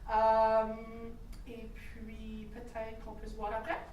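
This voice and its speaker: A friendly, helpful student played by a woman in a stage comedy speaks slowly in a fairly high voice. The sound is distant and echoey. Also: monotone, dull audio. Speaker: actor in an amateur stage comedy. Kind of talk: amateur stage acting. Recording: distant echoey hall, faint noise.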